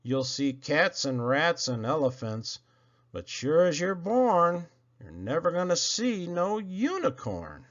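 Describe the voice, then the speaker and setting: Male storyteller for children's verse: unhurried, very lively pitch swings, theatrical phrasing, slightly dull lo-fi recording. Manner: animated, theatrical, drawn-out phrasing. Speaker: children's poetry reader. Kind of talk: expressive read-aloud of children's verse.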